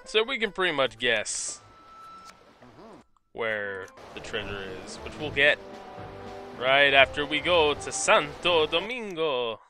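A man's voice: on the high side, big pitch swings, takes it slowly, long pauses, bright, forceful tone. A man casually commentating a video game he is playing, joking and reading game text aloud in silly voices. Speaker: let's play commentator. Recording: game music behind the voice.

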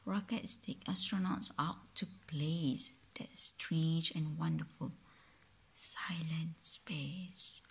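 A man with a Malaysian accent reading a picture book aloud to a child at home, soft and often half-whispered, with playful sound effects, recorded on a phone. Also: fairly high pitch, steady tone, slow pace, gravelly, slightly rough.

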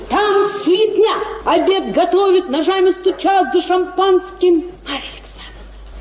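An actress playing a boastful, spiteful colonel's wife in a radio play, gossiping in a breathless, scattered rush. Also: female voice, very high voice, roomy sound, lo-fi sound. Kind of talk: radio drama acting.

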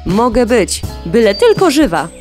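A woman's voice: on the high side, very animated, measured pace, music in the background. A woman playing a cautious, cowardly farmyard hen in a children's audio play. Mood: timid.

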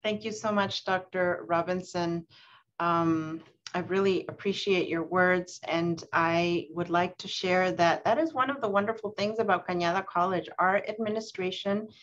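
A woman's voice: lowish voice, fairly even intonation, moderate pace, slight background noise. A woman hosting a video call event, introducing speakers with friendly, gracious thanks. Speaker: event host. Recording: slightly dull video call audio.

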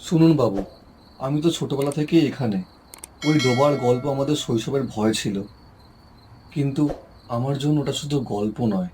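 A man voicing a grieving village man who speaks softly and respectfully about his lost father. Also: mid-range voice, moderate pace, pauses often, slight background noise, natural voice. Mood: earnest. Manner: soft, heavy-hearted, respectful. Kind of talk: Bengali cartoon voice acting.

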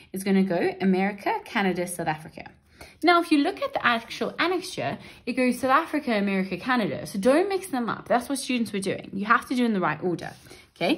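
A woman tutor talks through exam questions step by step at her desk. She sounds chatty and reassuring, often checking in with her students. Her voice sits in a middle pitch. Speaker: maths teacher. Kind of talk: exam paper walkthrough lesson. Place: indoors at a wooden desk.

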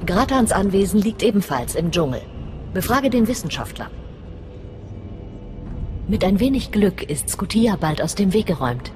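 A woman playing a scheming, imperious female Sith lord in a German game dub, commanding and deliberate. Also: medium pitch, very animated, a little mellow, faint music behind, natural voice. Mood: calculating, commanding.

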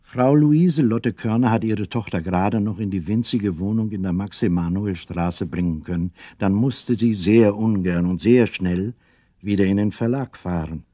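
A man narrating a children's radio play as a storyteller, in a measured, deliberate manner. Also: lowish voice, warm tone, no echo, close to an everyday voice. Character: calm male storyteller addressing young listeners.

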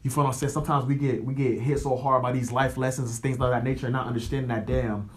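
A young American man hosts a podcast alone in a mid-pitched voice. He talks loosely and conversationally in slang, giving motivational advice and often checking that the listener follows. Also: fairly even intonation, fast talker. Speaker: podcast host. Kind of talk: casual motivational monologue.

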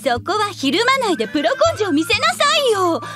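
A woman's voice: very high voice, very animated, fairly quick, fairly bright tone, faint music behind, exaggerated voice. A woman playing a sweetly sinister troll woman who presents an anime cooking-show parody in a sugary, singsong way. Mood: indignant.

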